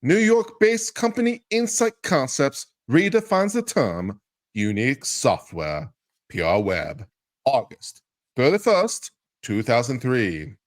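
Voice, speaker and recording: A man's voice: mid-range voice, very animated, slow pace. A man giving a dramatic, mock-grand reading of a lawsuit. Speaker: guest commentator.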